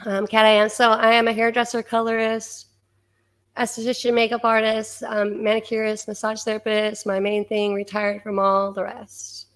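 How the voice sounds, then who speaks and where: Hoarse woman hosting a chatty livestream. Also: on the high side, completely flat delivery, moderate pace. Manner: chatty, laughs often, stops to clear her throat. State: hoarse, losing her voice. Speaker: livestream host reading viewer comments. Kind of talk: casual chat.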